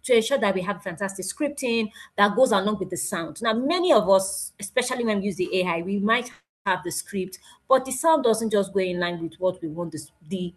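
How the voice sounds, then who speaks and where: Nigerian woman presenting, animated and emphatic. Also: medium pitch, brisk. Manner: animated, emphatic, with exclamations and repetition. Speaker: presenter at an online graduation event. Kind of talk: informal spoken presentation.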